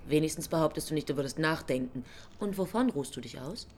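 Low-voiced, needling angel. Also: female voice, lively intonation, quick pace, darkish tone, slight background noise. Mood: quietly needling. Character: persistent, nagging guardian angel, a quiet watcher. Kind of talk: radio drama acting.

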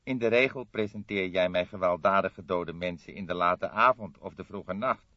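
A man's voice: low voice, normal speed, no echo, highs cut off, lightly acted. A man voicing an old police coroner in an audiobook, laconic and dryly sardonic. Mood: grumbling.

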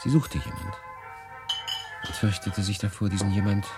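Brooding young refugee narrator, low voice. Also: male voice, steady tone, normal speed, vocal fry, over loud music. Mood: haunted, longing. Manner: intense, swinging between hushed and emphatic. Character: weary, brooding young German refugee narrator, passionate, melancholy. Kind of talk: dramatic first-person narration in radio drama.

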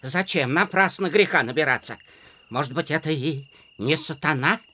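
An actor playing a cautious, fussily pious village clerk in a radio play, wordy, measured and officious. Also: male voice, fairly high pitch, sharp tone. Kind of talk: theatrical radio-play acting.

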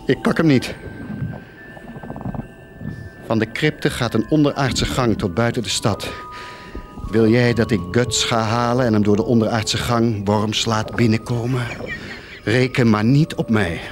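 An actor playing a tormented, guilt-ridden priest of the poor in a theatrical radio drama, repeating words and swinging between muttering and outcries. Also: male voice, mid-range voice, fairly even intonation, moderate pace, background noise, natural voice. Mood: probing, uneasy. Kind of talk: theatrical radio drama acting, anguished monologue.

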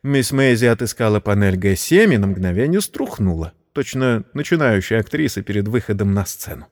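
A man's voice: on the low side, lively intonation, brisk, darkish tone, in a large hall. A man narrating a scripted story in a dramatic audio-play style.

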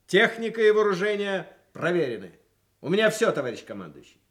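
An actor playing a dutiful army officer, reporting formally in a Soviet radio play. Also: male voice, sits high, sing-song, fairly quick. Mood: dutiful, official.

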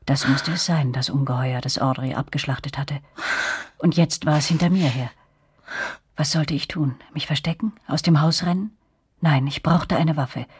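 An actress narrating a radio drama as a reflective woman recalling her girlhood, with a breathy, husky voice. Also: deep voice, darkish tone, no room sound, studio quality. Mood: frightened, urgent.

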